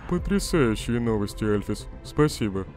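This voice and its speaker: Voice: medium pitch, lively intonation, normal speed, close to an everyday voice. An actor playing a laconic, sardonic male skeleton trickster with a dry, sarcastic manner in a comic dub. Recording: loud music under the voice.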